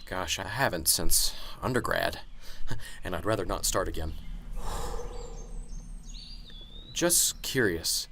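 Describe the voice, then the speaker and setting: A man's voice: low voice, expressive, slow, long pauses, bright, forceful tone, slight background noise, exaggerated voice. A man playing a nervous, unsure professor in an amateur radio drama, polite, hesitant and stammering.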